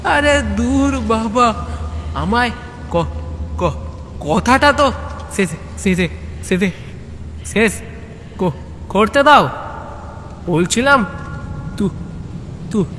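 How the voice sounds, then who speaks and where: A man voicing an excitable stammering sidekick in a comic audio story, stuck repeating syllables as he pleads to be heard. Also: high-pitched, drawn-out words, pauses often, in a large hall, slight background noise, voice pushed up, exaggerated voice. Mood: exasperated, pleading. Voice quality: heavy stutter.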